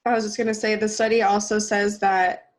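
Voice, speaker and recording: A woman's voice: mid-range voice, level tone, brisk. A woman with a congested cold voice co-hosting a nutrition podcast in casual chat, laughing often and using lots of fillers. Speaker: podcast co-host.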